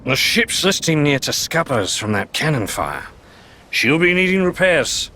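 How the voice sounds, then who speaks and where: A man's voice: fairly high pitch, sing-song, a little slow, pushed and bright, full character voice. A man playing a seasoned, pragmatic pirate in a video game, using old nautical phrasing. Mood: resigned. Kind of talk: video game cutscene acting.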